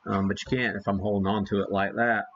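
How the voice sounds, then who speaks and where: A man's voice: lowish voice, flat delivery, quick pace, a bit bright, a little hiss or hum. Folksy man, slightly dull audio. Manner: folksy, self-deprecating. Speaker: live stream host, amateur radio hobbyist. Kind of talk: casual live demonstration chat.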